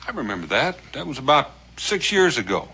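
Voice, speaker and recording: A man's voice: medium pitch, big pitch swings, a little fast, sharp tone, slightly rough, heavily acted. An American man in middle age playing an easygoing, good-humoured frontiersman and father in a television western. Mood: amused, easygoing. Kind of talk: 1960s television western acting. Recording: noisy old television soundtrack.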